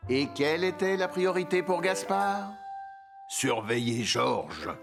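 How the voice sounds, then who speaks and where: A man narrating a children's cartoon in a playful, expressive storytelling manner. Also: a little high, slow, vocal fry, music in the background, heavily acted. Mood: playful, curious.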